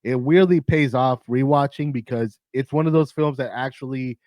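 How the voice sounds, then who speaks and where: Raspy-voiced man rambling about movies. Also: medium pitch, moderate pace, darkish tone, no echo. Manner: profane, rambling, lots of restarts and fillers. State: raspy, tired voice. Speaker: host of a movie countdown. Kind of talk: casual rambling film talk.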